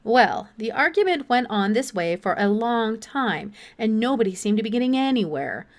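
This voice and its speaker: A woman's voice: fairly high pitch, big pitch swings, moderate pace. A woman reading a children's novel aloud as an audiobook narrator.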